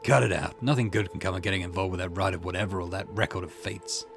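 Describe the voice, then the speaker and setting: Gravelly man playing a stern detective boss. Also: sits low, fairly even intonation, quick pace, music in the background. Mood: stern, annoyed. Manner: curt, admonishing. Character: gruff, stern, weary police detective boss.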